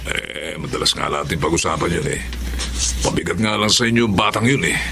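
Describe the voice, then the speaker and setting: A man's voice: sits low, lively intonation, brisk, creaky, background noise. An actor playing a blunt, casual male friend in a Tagalog audio drama. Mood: blunt, friendly. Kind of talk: Tagalog melodrama radio acting.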